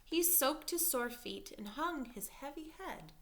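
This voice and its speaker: Female teacher, storybook read-aloud, lively swooping pitch, slow pacing, questioning asides. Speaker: teacher reading a picture book aloud.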